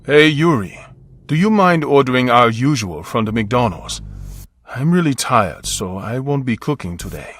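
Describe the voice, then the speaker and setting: An actor playing a tired, caring middle-aged father from South Korea, speaking calmly in a told story. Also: medium pitch, moderate pace, dry recording. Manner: calm, weary and caring. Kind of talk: radio-style story acting.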